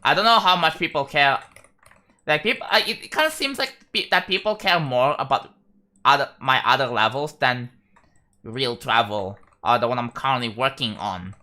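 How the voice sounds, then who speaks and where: A young man with an Indonesian accent chats loosely on a live stream, often stopping and restarting his sentences. His voice is bright and his pitch swings widely. He sounds relaxed and unscripted. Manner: rambling, halting, with many restarts. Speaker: livestreamer. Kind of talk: casual live-stream chat.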